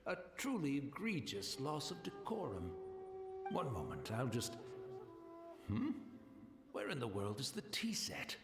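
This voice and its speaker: A rambling blind old tea keeper. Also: male voice, mid-range voice, wildly swooping pitch, measured pace, pauses often, echoey room, over loud music. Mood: wistful. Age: older. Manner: rambling, courteous, old-fashioned. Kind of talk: video game cutscene voice acting.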